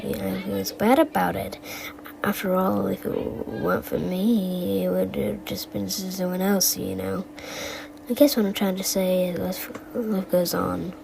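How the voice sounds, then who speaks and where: A woman voicing an absent-minded animatronic bear from a game, who tells stories in a halting, stammering, deadpan way and wanders off the point. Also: medium pitch, creaky, noisy background. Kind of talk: fan recital of game voice lines.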